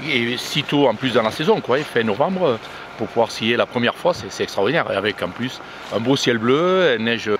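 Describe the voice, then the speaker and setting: A man's voice: mid-range voice, lively intonation, normal speed, fairly bright tone. A man giving casual interview remarks outdoors as a skier. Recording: clearly noisy outdoor recording.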